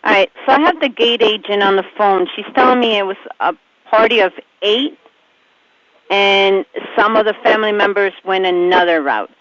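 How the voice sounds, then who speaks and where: An airport ramp controller speaking over the radio to a pilot, calm and businesslike. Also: medium pitch, moderate pace. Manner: calm, businesslike, matter-of-fact. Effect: aviation radio transmission. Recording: muffled radio with clear static.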